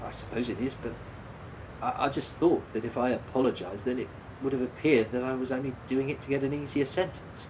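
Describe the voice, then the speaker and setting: A man's voice: medium pitch, steady tone, fairly quick, a little mellow, slight background noise, natural voice, low-quality recording. An actor in a radio play voicing a guilt-ridden, apologetic man who stammers and hesitates as he speaks. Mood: hesitant, ashamed.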